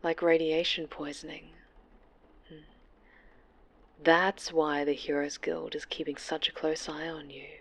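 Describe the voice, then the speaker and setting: Woman acting as obsessed evil superhero lady, asmr horror, lowish voice, real slow, breathy and mock-sweet, creepy possessive. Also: little pitch movement, a bit bright, full character voice. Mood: quiet, threatening. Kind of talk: ASMR horror roleplay, dramatic and intimate.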